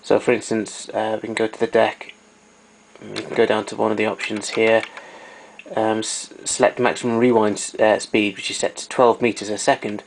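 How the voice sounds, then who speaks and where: A man's voice: fairly low pitch, little pitch movement, normal speed, gravelly, a little hiss or hum, low-quality recording. A man giving an informal, hands-on equipment demonstration, explaining technical details as he goes.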